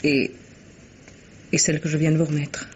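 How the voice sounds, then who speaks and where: A man plays a stern, devoted old governess in a vintage French radio drama, heard through a dull recording with background noise. The pitch stays flat, and the delivery is solemn, terse and commanding. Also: moderate pace, lightly acted. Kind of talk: 1950s French radio mystery acting.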